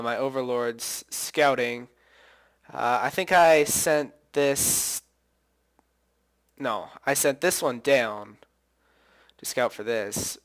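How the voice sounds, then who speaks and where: A man talks through his own match in a loose, wandering way, stopping to correct himself and think out loud. His voice sits at an ordinary pitch and comes through smooth and clear, with only a slight hoarseness left over from singing. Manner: rambling, thinks aloud, self-correcting. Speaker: gameplay commentator. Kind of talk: casual gameplay commentary.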